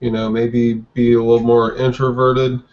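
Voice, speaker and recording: Guy in his 40s on a video call, hesitant, low-fi sound, kinda monotone. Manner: hesitant, halting with restarts. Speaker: guest artist. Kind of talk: casual interview over video call. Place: dim room indoors. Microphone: webcam on video call. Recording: dull lo-fi webcam audio.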